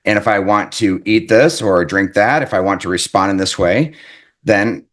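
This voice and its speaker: Earnest male author, slightly low voice, reflective talk that circles back on its points. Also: normal speed, a bit bright. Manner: earnest, repeats points, tags lines with "right?". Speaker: author and life coach. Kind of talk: reflective self-help talk.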